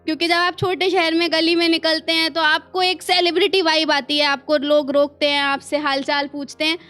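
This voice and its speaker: An Indian woman poet performing her own spoken-word verse live, expressive and deliberate, with asides to her listeners and lines repeated for emphasis. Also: high voice. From small town in India.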